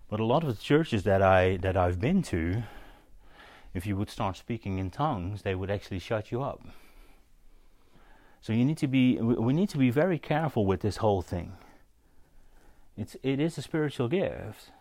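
Low-voiced man preaching informally, close and dry. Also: lively intonation, darkish tone, no echo. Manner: halting, conversational, checks in with listeners with "yeah?". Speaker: preacher teaching on spiritual gifts. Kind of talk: informal sermon with storytelling.

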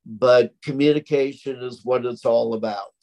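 A middle-aged man, speaking loudly and slowly, over-articulating each word as an interview guest. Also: medium pitch, fairly even intonation, rough recording. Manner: slow, deliberate, over-articulated speech; naturally loud, projecting voice. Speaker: interview guest, stroke survivor. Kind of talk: conversational interview.

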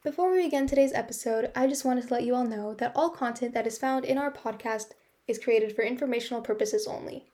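A woman delivers a scripted podcast announcement at a rapid clip. Her voice is pitched a little high. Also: mellow. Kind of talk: scripted disclaimer narration.

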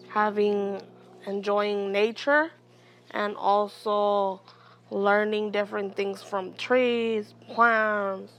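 Young oakland woman, talks slow and careful, interview outside, kinda mid voice. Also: medium pitch, fairly bright tone, slight background noise. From Oakland, California. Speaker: guest, educator and mentor. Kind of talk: outdoor interview. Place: outdoors.

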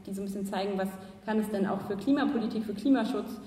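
A woman's voice: medium pitch, fast, mellow, slight background noise. A woman moderating a panel discussion, speaking hesitantly with many fillers. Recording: echoey hall, distant mic.

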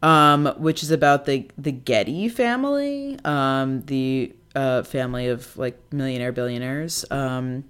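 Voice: mid-range voice, a little slow, few pauses, a bit bright, clean tone. An American co-host chatting casually and unscripted about television, speaking in a hesitant, rambling way peppered with "like". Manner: hesitant, rambling, heavy use of "like". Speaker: co-host of a TV discussion show.

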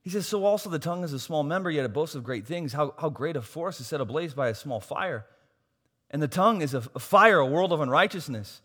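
A pastor preaching a sermon, losing his voice and swinging from soft asides to loud, emphatic points. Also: on the high side, animated, fast talker. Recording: long hall reverb.

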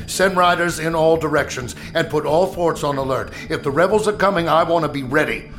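An actor playing a stern, commanding 1860s Secretary of War in a historical audio drama, speaking with clipped, formal authority. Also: male voice, on the high side, brisk, a bit bright. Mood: urgent, commanding. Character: stern, commanding male Secretary of War in 1865, grimly resolute. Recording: dramatic music under the voice.

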